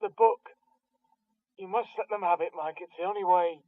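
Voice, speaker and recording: A man's voice: sits high, moderate pace, dry recording. An actor playing a captive man in pain, pleading in a strained voice over a telephone in a radio spy drama. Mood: anguished pleading. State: in pain, distressed. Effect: telephone line. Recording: tinny narrow phone-line sound.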